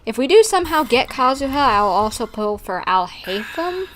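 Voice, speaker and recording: A woman's voice: a little high, big pitch swings, normal speed, fairly bright tone, a little hiss or hum. A woman livestreamer chatting informally with her viewers, rambling and easily sidetracked. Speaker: live streamer. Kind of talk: casual livestream chat with viewers.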